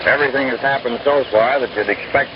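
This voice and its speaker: Through loud noise and a dull, scratchy vintage broadcast comes an American man announcing baseball. His pitch is middling for a man. He gives folksy, wry running commentary in the manner of 1930s and 40s radio. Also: fast talker.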